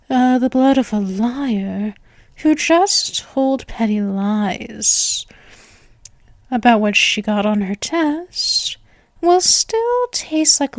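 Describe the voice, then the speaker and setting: Woman acting a slow, doting vampire girl, high-voiced. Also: no room sound.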